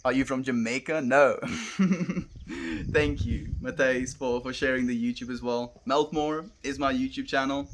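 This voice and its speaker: A young South African man chatting on a live stream outdoors, earnest and gentle, calling his viewers friend. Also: medium pitch, steady tone, unhurried, a little hiss or hum. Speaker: livestreamer. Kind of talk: casual live stream chat reading comments. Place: outdoors, wind and cows nearby.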